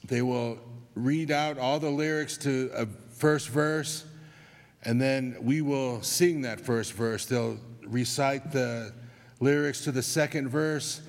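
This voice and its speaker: A man from Tonga whose first language is Tongan, explaining a church custom to the congregation in a measured way with restarts. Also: medium pitch, steady tone. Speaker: church member giving an announcement. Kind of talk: unscripted church announcement. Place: church sanctuary. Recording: long church hall reverb.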